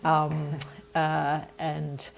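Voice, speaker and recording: A woman's voice: low-pitched, monotone, drawn-out words, gravelly. A woman from Australia with an Australian accent, telling her story as a guest in an earnest, reflective way. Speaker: nonprofit founder, podcast guest. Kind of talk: conversational interview answer.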